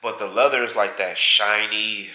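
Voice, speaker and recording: A man's voice: on the low side, fairly even intonation, normal speed, pushed and bright. A man, nasal from a head cold, chatting casually and rambling through a tour of his home theater. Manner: rambling, self-correcting. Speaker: vlog co-host. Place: large home theater room, indoors. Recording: echoey room.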